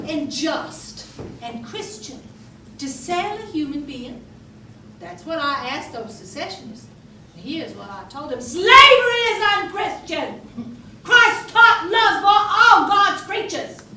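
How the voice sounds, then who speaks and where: A woman's voice: sits high, heavily acted. An actress playing an eccentric, defiant Civil War-era Southern abolitionist woman in a theatrical, declamatory stage monologue. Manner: declamatory, emphatic, oratorical. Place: theatre stage, recorded from the audience. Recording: echoey distant stage recording, noisy.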